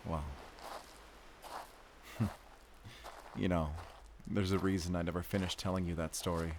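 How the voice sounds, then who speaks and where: An actor playing a weary, devoted werewolf hunter in an intimate romantic audio roleplay, speaking haltingly and sincerely with soft sighs. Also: male voice, low-pitched, flat delivery, slight background noise. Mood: wistful, nostalgic.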